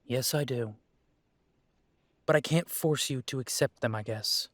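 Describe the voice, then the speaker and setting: A man voicing a young adult male werewolf, an estranged son who is defensive and firm, then quiet and conciliatory. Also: mid-range voice, a little slow, no room sound. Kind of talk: audio drama acting.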